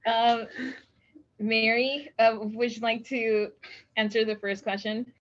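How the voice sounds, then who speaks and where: Gentle, informal woman moderator, muffled call audio. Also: fairly high pitch, level tone, slow. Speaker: panel moderator. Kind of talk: moderating a panel on a video call. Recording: dull video call audio.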